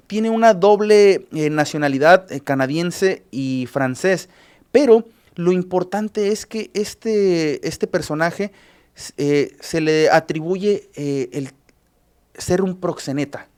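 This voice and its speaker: A man with a Mexican Spanish accent, a journalist recapping news cases on a radio show, halting often with filler sounds and restarts. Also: on the high side, a little mellow, smooth.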